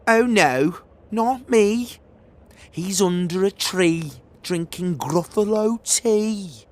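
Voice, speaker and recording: Man doing a squeaky, clever little mouse. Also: drawn-out words, darkish tone. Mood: urgent. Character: small clever male mouse.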